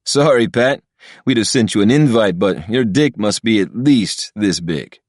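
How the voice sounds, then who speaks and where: A man's voice: on the low side, animated, unhurried, a little mellow, no echo, clean studio sound, voice pushed up. A man voicing a cocky, crude young man who mocks others in a laid-back way. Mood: mocking. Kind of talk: audiobook character voice.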